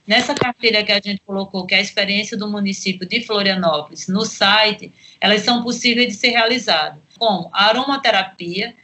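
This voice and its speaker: A woman's voice: mid-range voice, a little fast, hardly any pauses, bright, forceful tone, slight background noise, low-quality recording. A Brazilian woman giving practical, didactic explanations as an expert guest in an interview, going point by point.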